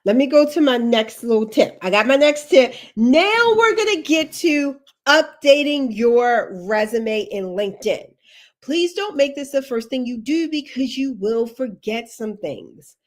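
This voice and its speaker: A woman's voice: high voice, animated, measured pace. A woman career coach on a livestream, sniffly from allergies, giving advice in an emphatic way and repeating her key points. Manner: emphatic, repeats key instructions, chatty asides.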